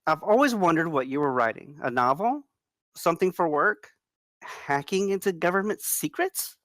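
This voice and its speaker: Woman reading as a confident flirty twenty-something, super lively pitch, dry close sound. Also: moderate pace, no room sound. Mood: playful, flirty. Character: flirty, dramatic, confident Korean American woman in her twenties. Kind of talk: table read of a screenplay.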